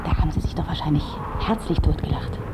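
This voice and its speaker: An actress playing a sharp, sardonic journalist who needles and accuses, in a German radio play. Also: female voice, deep voice, fast, in a whisper, natural voice. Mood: accusing, sardonic. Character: sharp, mocking career-driven female journalist confronting a writer.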